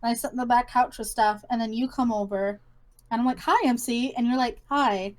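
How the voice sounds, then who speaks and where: A woman's voice: fairly high pitch, fairly even intonation, brisk. A woman, a guest in a casual chat, giving brief, playful replies.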